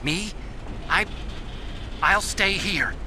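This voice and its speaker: An actor playing an earnest, nerdy engineer in a video game cutscene, boyish and anxious yet bravely resolved. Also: male voice, high voice, very slow. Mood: resolved, wistful. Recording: clear music behind the voice.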